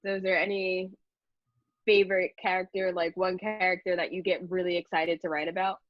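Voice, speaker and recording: A dull, dry lo-fi recording carries a woman with a fairly low voice. She is interviewing casually, phrasing her questions and remarks in a loose conversational way. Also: flat delivery, a little fast, no echo. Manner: informal, conversational.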